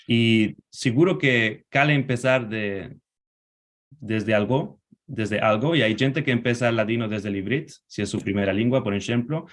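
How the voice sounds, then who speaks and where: A man from Spain answering interview questions over a video call, speaking in a measured, halting way and correcting himself as he goes. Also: on the low side. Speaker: guest.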